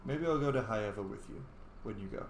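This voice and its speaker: A man's voice: lowish voice, fast talker. A man hosting a role-play gameplay video, moving between casual commentary and lines spoken as his character. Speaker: gaming video host playing and voicing his character. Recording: echoey room with faint background noise.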